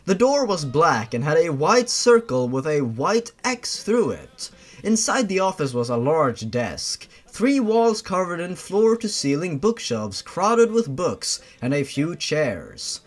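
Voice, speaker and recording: A man's voice: fairly high pitch, big pitch swings, slow pace. A man narrating a story in a theatrical, dramatic way, with sarcastic asides.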